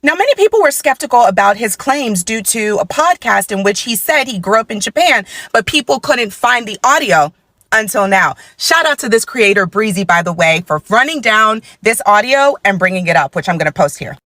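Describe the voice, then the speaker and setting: A woman's voice: mid-range voice, expressive, normal speed, sharp tone, no room sound. A woman giving emphatic social media commentary, repeating points for effect in an exasperated way. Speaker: commentary creator.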